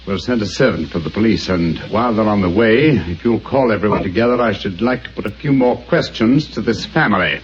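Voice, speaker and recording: An actor as a commanding radio-play detective: low, lively, precise, over faint hiss. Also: male voice, a little fast, few pauses, close to an everyday voice. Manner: crisp, precise, confident. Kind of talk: 1940s radio acting. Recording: muffled old radio broadcast, faint hiss.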